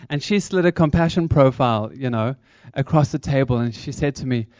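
A man's voice: medium pitch, normal speed, a little mellow, dry recording, muffled recording. A man from South Africa with a South African accent, giving a chatty, engaging talk to a church congregation as a charity speaker. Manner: chatty, engaging, asks the crowd questions.

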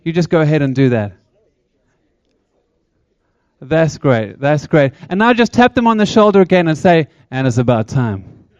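Man, South African accent, church talk, chatty, slightly high voice, dry dull sound. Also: darkish tone, no room sound. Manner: chatty, engaging, asks the crowd questions. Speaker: charity speaker at church. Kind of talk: upbeat church talk to congregation.

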